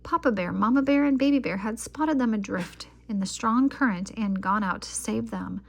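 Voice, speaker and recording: A woman reading a children's picture book aloud as an expressive storyteller. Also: fairly high pitch, moderate pace, vocal fry, a little hiss or hum.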